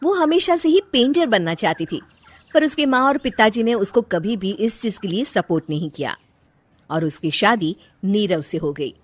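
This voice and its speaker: A woman's voice: medium pitch, lively intonation, normal speed. A woman narrating a scripted cartoon story as its storyteller.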